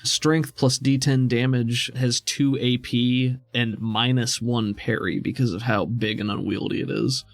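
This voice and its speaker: A man's voice: medium pitch, steady tone, a little slow, vocal fry, hardly any pauses, no echo. A man acting as game master, narrating and explaining rules in a casual, conversational way.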